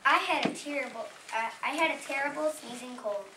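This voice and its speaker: A woman's voice: high-pitched, a little fast, natural voice. A woman playing an aggrieved, cheeky storybook wolf who tells the tale defensively in a readers' theater reading. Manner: defensive, self-justifying storytelling. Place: library room, distant microphone. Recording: echoey, noisy room from far off.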